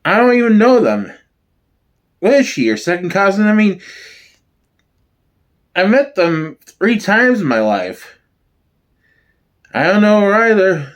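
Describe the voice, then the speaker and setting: A man's voice: high voice, big pitch swings, unhurried, pauses often. A man performing a wry, sardonic younger comedian in a one-man sitcom reading. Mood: complaining.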